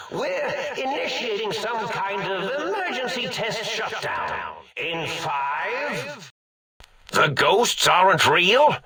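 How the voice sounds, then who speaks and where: Mad scientist villain, eccentric and forgetful, theatrical ranting, sharp bright tone, slow drawn-out delivery, game voice, distant echoey sound. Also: male voice, sits high, exaggerated voice. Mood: theatrical announcing, then surprised musing. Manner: theatrical, grandiose, muttering and rambling. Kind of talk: video game voice acting.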